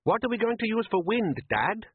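A man's voice: very animated, normal speed, slightly rough, no echo, narrow, tinny audio, full character voice. Les, a man voicing an anxious young fisherman's son. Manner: high, pleading questions.

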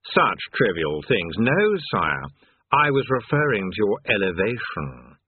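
A man voicing an old demon courtier in a storybook, grandly obsequious and slyly flattering, drawn-out and oily, on a narrow tinny recording. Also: on the low side, husky, dry recording, heavily acted. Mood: smooth flattery. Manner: drawn-out, oily, expansive, sneering. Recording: narrow tinny phone-like sound.

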